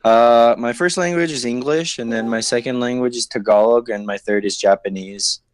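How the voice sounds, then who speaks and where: A man's voice: medium pitch, normal speed, clean tone. A young man from Saipan calling in, easygoing and chatty, with lots of "like" fillers. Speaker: caller. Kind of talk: casual call-in chat.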